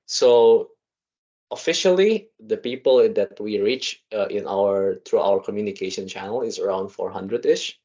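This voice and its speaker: A man from Indonesia, in his thirties, talks as a podcast guest in an office meeting room. His voice sits fairly low and he speaks in quick, chatty bursts full of fillers and restarts. The sound is dull and lo-fi. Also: dark tone. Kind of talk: casual conversational interview.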